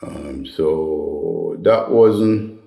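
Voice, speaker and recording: A deep, mellow-voiced Jamaican man spins stories from his comedy career at an easy, rambling pace. He often tags his lines with "right?" and "you know". The room around him is echoey. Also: extremely slow, vocal fry. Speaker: comedian guest. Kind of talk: relaxed interview storytelling.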